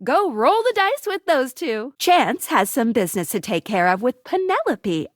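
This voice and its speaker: The chirpy, wisecracking companion who hands out quests in a dating video game, swooping melodically, recorded close and dry. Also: female voice, high voice, moderate pace, no echo. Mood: playful, informative. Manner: playful, punning, animated. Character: perky, quippy, helpful female guide to dateable household objects. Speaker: voice actress playing a game guide character. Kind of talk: scripted video game character dialogue.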